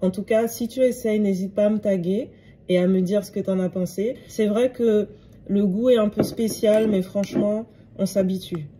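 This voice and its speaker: Mellow-voiced woman chatting through a recipe. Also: medium pitch, fairly even intonation, moderate pace, slight background noise. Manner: chatty, addresses the viewer directly as a friend. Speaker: home remedy vlogger. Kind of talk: casual how-to narration to camera.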